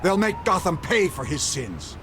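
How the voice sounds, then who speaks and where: An actor playing a corrupt middle-aged mayor in a video game, blustering, indignant and easily rattled. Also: male voice, high voice, sing-song, unhurried, gravelly, music in the background, exaggerated voice. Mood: bitter, justifying. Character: corrupt, panicky middle-aged male city mayor, blustering and self-serving. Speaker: politician character. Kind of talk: dramatic video game acting.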